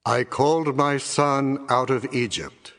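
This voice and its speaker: An actor playing a solemn prophet who declaims scripture in an audio Bible drama. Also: male voice, mid-range voice, steady tone, takes it slowly, a bit bright. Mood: proclaiming.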